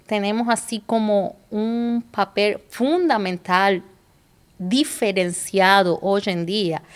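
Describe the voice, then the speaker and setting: A woman from Cuba with a Cuban Spanish accent, a guest on a casual podcast, speaking Portuguese thoughtfully and slipping into Spanish words. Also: mid-range voice. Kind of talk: casual chat.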